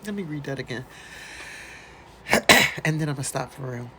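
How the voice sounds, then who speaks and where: A woman's voice: rumbling low, expressive, normal speed, a bit bright, a little hiss or hum. An American woman doing a dramatic read-aloud with casual, mocking asides and exclamations, in a theatrical manner. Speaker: host doing a dramatic reading.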